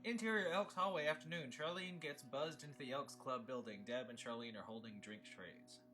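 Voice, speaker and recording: A man's voice: medium pitch, fairly quick, pushed and bright, soft background music. A man reading stage directions as narrator at a film table read.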